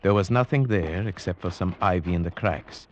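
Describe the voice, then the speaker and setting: A man playing a cultured, brooding huntsman who narrates gravely and formally in an old radio drama. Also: low voice, normal speed, lo-fi sound, a little hiss or hum, close to an everyday voice. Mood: grave narration. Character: cultured Slavic huntsman, brooding, at home in forests. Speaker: narrator and character. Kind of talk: 1940s-50s radio drama acting.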